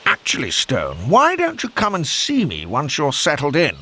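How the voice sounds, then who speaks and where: Genial British wing commander, plummy, highish bright voice, swooping intonation, game cutscene. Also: male voice, wildly swooping pitch, normal speed, exaggerated voice. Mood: jovial, encouraging. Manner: jovial, courteous, clipped military slang. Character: genial upper-class British wing commander, old-school officer. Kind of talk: video game cutscene acting.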